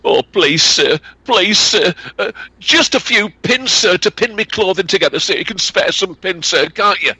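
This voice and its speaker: A man voicing a poor fourteen-year-old girl from a historical tale, pleading in a high, wheedling northern dialect. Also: expressive, moderate pace, sharp tone, breathy edge, no room sound, exaggerated voice.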